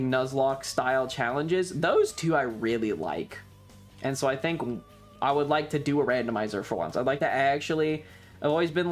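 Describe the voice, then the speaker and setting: A streamer chatting casually in a rambling, wry and jokey, mildly crude way. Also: medium pitch. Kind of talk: casual livestream chat. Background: background music.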